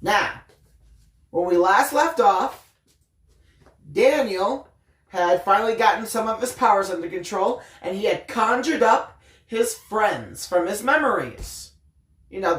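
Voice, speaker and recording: A woman hosting a story time, switching between casual chat and expressive reading aloud. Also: on the low side. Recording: roomy, echoing room sound.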